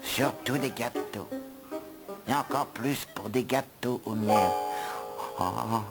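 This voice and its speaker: A man voicing a grumpy, gluttonous house elf in an animated film dub, with a theatrical, grumbling, wry delivery. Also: mid-range voice, slow, loud music behind the voice.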